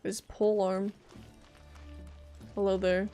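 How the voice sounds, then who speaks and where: A woman's voice: medium pitch, little pitch movement, slow, dark tone. A woman giving casual live gameplay commentary, thinking aloud and often questioning what she sees. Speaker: game streamer. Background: faint game music.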